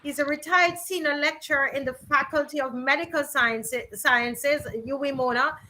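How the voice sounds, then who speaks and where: A woman's voice: high-pitched, steady tone, normal speed, sharp tone. A middle-aged woman from Barbados, hosting a virtual rally in a chatty way with many ums and restarts. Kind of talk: casual hosting and introductions.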